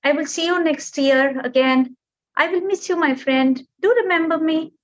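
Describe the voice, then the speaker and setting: A woman voicing a small, chatty traveling bird with a high, sing-song, pleading voice. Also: normal speed, lightly acted. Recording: dull lo-fi recording.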